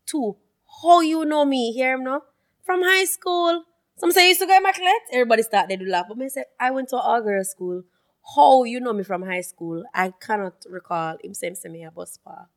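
A young Jamaican woman co-hosting a podcast, chatting casually and telling animated stories with free swearing. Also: fairly high pitch. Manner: animated storytelling, swears freely. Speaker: podcast co-host. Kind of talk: casual chat.

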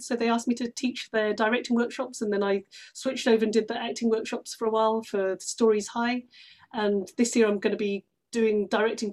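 Higher-pitched London woman, chatting casually. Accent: London English. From London, England. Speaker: interview guest, actress and theatre workshop director. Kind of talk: casual conversational storytelling.

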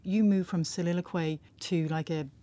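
A woman's voice: on the low side, steady tone, moderate pace, dark tone. A woman interviewer asking thoughtful questions in a searching way.

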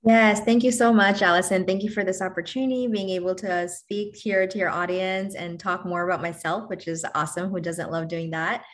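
A woman's voice: lowish voice, fairly even intonation, a little fast, hardly any pauses. A woman from Bangladesh, a doctor guest on a podcast, talking in a candid, casual and upbeat way. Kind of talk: podcast interview.

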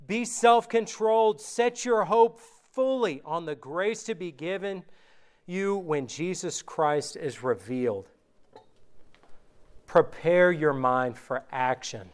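A man gives a casual sermon and reads scripture with slow, deliberate emphasis. His voice sits high for a man and sounds clean and smooth, though recent sickness has worn it down. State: recovering from illness, voice going. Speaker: preacher. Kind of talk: informal sermon with scripture reading.

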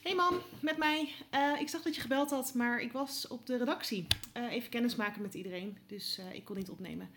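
A woman's voice: a little high, brisk, hardly any pauses, heavy background noise, close to an everyday voice. An actress playing a curious, chatty young woman reporter, speaking casually and hesitantly as if leaving voice messages in an audio drama.

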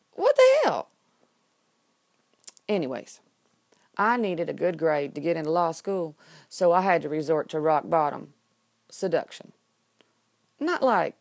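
A woman's voice: lowish voice, darkish tone, no echo, studio quality, heavily acted. A woman performing a comedic monologue as an exasperated, sarcastic student pleading innocence, with dramatic, emphatic delivery. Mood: scheming, confiding.

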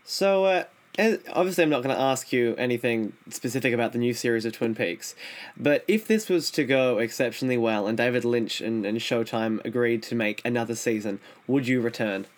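A man's voice: mid-range voice, brisk. A man hosting an interview podcast, putting prepared questions to his guest in a polite, fairly formal way.

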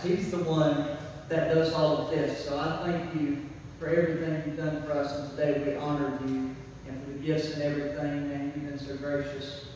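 A man's voice: fairly high pitch, a little mellow. A man preaching a church sermon as pastor, folksy and emphatic, repeating key phrases, his voice a little weakened by laryngitis. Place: church, speaking to a congregation. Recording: very echoey hall, distant mic, faint noise.

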